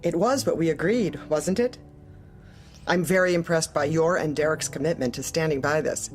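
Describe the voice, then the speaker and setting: An actress playing a cold, manipulative corporate manager in an audio drama, clipped, controlled and falsely polite. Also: female voice, deep voice, moderate pace, faint music behind, natural voice. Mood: brisk, detached. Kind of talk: audio drama acting, dark comedy.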